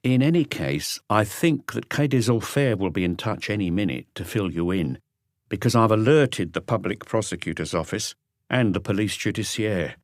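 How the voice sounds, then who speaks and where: A man's voice: on the low side, lively intonation, moderate pace, no echo, studio quality. A man voicing a middle-aged, formal detective inspector who speaks carefully and courteously on the telephone in an audiobook. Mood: solemn, careful.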